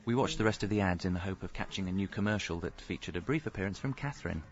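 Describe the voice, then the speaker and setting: A man's voice: deep voice, quick pace, muffled recording, soft background music, close to an everyday voice. An actor playing a hapless, earnest young father and husband in a radio comedy, by turns sheepish and drily wry. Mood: wry narrating. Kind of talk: radio comedy acting with wry first-person narration.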